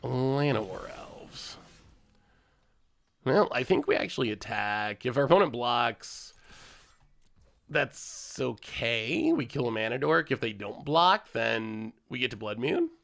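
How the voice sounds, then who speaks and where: A man's voice: mid-range voice, animated, takes it slowly, vocal fry, a bit bright. A man streaming a card game and chatting casually with his viewers, a little congested from a cold, laughing often as he plays. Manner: chatty, laughs often, thanks chat subscribers. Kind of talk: casual live-stream commentary while playing.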